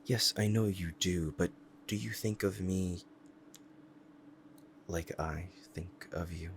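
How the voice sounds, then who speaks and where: Male actor as a devoted possessive demon, low pitch, unhurried delivery, dramatic pauses, intense, ASMR roleplay. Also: slight background noise. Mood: tender, yearning. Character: powerful possessive demon bound to a sorcerer, devoted, proud.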